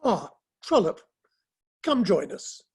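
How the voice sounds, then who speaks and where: A mild, sociable Victorian gentleman, acted by a woman, with a somewhat husky voice at middling female pitch. The manner is placating and friendly, as in a play reading. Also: big pitch swings, slow, exaggerated voice.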